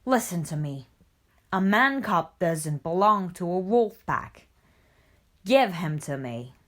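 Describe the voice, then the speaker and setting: A woman doing a low, menacing tiger. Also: expressive, slow pace, darkish tone. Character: menacing male tiger, bullying.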